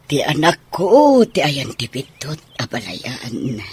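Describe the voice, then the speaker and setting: A woman playing an excitable mother gossiping with an in-law in an Ilocano radio drama. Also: a bass voice, takes it slowly, breathy edge, full character voice.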